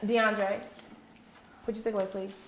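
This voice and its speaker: A woman's voice: medium pitch, flat delivery, unhurried. A woman teacher leading an interactive read-aloud for young children, encouraging and praising, with simple repeated questions and instructions. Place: indoor classroom with young children. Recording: echoey classroom, faint background noise.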